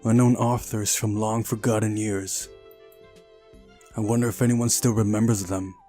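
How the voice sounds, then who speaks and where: A man's voice: fairly low pitch, level tone, moderate pace, warm tone, soft background music. A man hosting a visual novel playthrough, reading the narration aloud and adding casual commentary. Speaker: let's play host.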